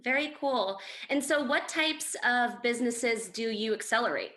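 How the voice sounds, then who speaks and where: A woman's voice: on the high side, moderate pace, sharp tone. A woman hosting an interview, asking questions and giving short encouraging replies. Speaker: interviewer host. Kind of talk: casual interview.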